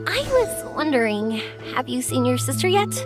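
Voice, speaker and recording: A high, lively young woman from a video game adventure. She is cheerful and earnest, with a sentimental streak and very animated delivery. Music plays behind her. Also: moderate pace. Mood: warm, curious. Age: young adult. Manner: bubbly, earnest, expressive. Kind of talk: video game character dialogue. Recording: game dialogue over background music.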